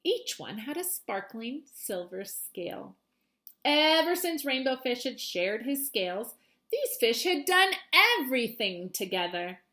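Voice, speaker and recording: A woman with a high voice reads a picture book aloud as a teacher would for students. Her intonation is very lively, rising and falling widely as she tells the story. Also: a little slow. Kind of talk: expressive children's storybook read-aloud.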